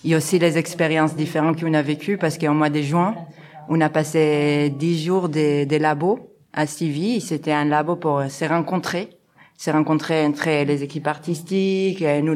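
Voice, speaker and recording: A woman from France with a notably deep voice talks through interview answers about her work as a co-director. She hesitates often and fills her pauses with small filler sounds. Her tone stays casual and conversational. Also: steady tone, a little mellow. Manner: hesitant, many fillers, laughs easily. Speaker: artistic co-director.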